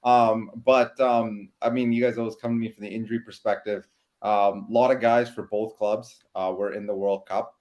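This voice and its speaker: A man offers laid-back, joking injury analysis on a sports podcast. His voice sits a little low and sounds slightly muffled. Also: little pitch movement, normal speed, darkish tone. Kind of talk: casual sports podcast chat.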